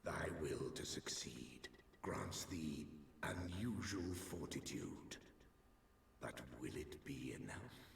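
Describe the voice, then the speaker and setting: An actor playing an ancient, aloof dragon in a fantasy game, speaking in slow, archaic, gravelly phrases. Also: male voice, deep voice, heavily acted. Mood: cryptic, musing. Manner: slow, archaic, measured speech. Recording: cavernous reverb, distant, faint noise.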